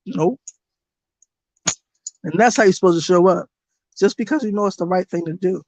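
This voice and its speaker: A woman running a casual livestream as a spiritual reader, blunt and profane, with a voice that is going hoarse. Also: fairly low pitch, moderate pace, highs cut off, slight background noise. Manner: blunt, profane and animated, talks to her chat. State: losing her voice.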